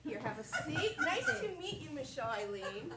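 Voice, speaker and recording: A woman's voice: high-pitched, sing-song, normal speed. A woman hosting a live improv comedy show, teasing an audience member with playful questions and frequent laughter. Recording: roomy, distant, noisy live venue.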